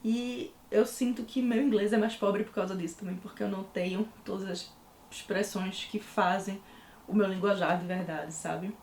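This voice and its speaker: A woman's voice: mid-range voice, fairly even intonation, normal speed, a little mellow, slight background noise. A young adult woman from Recife with a Pernambuco accent, chatting casually in a book review vlog. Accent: Recife Pernambuco Portuguese. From Recife, Pernambuco, Brazil. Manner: chatty, full of fillers. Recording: echoey room.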